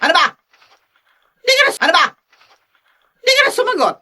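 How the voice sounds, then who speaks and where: A woman's voice: very high-pitched, big pitch swings, fairly quick, bright, forceful tone, heavily acted. A woman playing an irritable, demanding mother in a radio drama, with a Filipino accent and insistent questioning. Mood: irritated, demanding.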